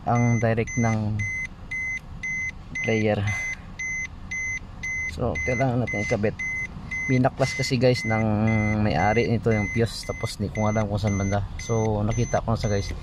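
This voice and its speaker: A man with a Filipino accent giving a casual hands-on tutorial, repeatedly addressing his viewers. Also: on the low side. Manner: repetitive, addresses viewers often. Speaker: car repair vlogger. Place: inside a parked car. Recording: noisy car interior with beeps.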